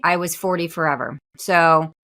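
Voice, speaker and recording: A woman's voice: low voice, steady tone, normal speed, sharp tone. A woman in her forties co-hosting a podcast with her daughter, telling stories in a chatty way and laughing often. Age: 40s. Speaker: podcast co-host, mother. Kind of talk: casual chat.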